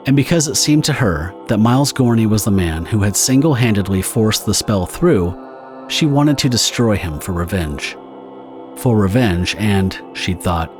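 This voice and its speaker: A man narrating a scripted dramatic story in a measured, theatrical storytelling manner. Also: on the low side. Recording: music under the narration.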